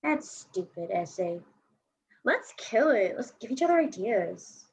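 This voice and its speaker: A woman's voice: medium pitch, big pitch swings, a little slow, vocal fry, a little mellow, slightly rough, heavily acted. A woman playing a blunt, slangy, defensive fourteen-year-old girl skateboarder in a staged play reading. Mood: cocky, defensive. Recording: dull lo-fi recording.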